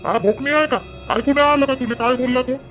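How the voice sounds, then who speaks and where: A man's voice: very high voice, fairly quick, a bit bright, soft background music. A man voicing an irritable middle-aged village father in a cartoon family drama, arguing in colloquial Varhadi Marathi. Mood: indignant. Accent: Varhadi Marathi from Vidarbha. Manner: colloquial, argumentative.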